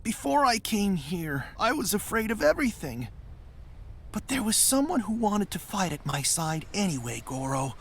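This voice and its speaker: A man's voice: high voice, very animated, normal speed, vocal fry, fairly bright tone, a little hiss or hum, exaggerated voice. A man playing a timid, humble young rock creature in a video game cutscene, hesitant and earnest. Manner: hesitant, modest.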